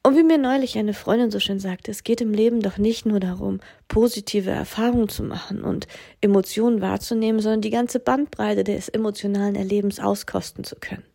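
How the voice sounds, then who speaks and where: Hoarse woman with a cold, gravelly. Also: mid-range voice, steady tone, brisk, mellow. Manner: thoughtful, at times breathy and whispery. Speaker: podcast host and coach. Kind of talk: casual reflective podcast monologue. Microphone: phone.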